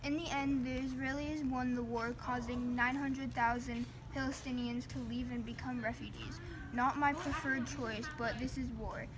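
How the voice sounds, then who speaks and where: A woman's voice: high voice, steady tone, moderate pace, natural voice. A woman playing an Israeli eyewitness recounting a war in a scripted, explanatory interview. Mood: matter-of-fact, explanatory. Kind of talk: scripted student newscast interview. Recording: background noise, live ambience.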